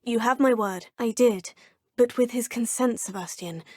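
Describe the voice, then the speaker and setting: A woman voicing a poised, curious young witch student, speaking in polite, formal, measured game dialogue with a husky tone. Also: on the high side, darkish tone, no echo, studio quality, close to an everyday voice. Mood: composed. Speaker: player character. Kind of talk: video game voice lines.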